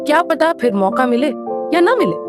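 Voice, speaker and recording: A woman's voice: high-pitched, very animated, normal speed. Woman as a kind, earnest young mermaid. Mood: delighted. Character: young kind-hearted, eager female mermaid. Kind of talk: cartoon story voice acting. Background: music.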